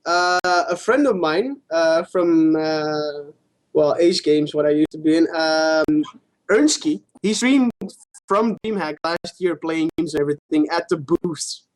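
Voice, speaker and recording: A man with a noticeably high voice talks as co-host of a gaming show. He explains things casually and informally, in the loose way of an online chat between friends. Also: a little slow, low-quality recording.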